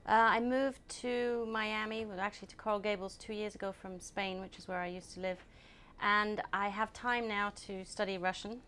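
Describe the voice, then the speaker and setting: A woman born in England, answering interview questions at a steady, measured pace and often pausing on ums. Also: medium pitch, clear, resonant tone. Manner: measured, hesitant with many ums. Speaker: guest, group founder.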